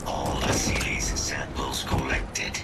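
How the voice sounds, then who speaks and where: Very deep, monotone military robot. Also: male voice, takes it slowly, in a whisper. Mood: flat, factual. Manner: flat, clipped, formal. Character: emotionless male military robot companion, precise and dutiful. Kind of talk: video game voice acting. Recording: loud music under the voice.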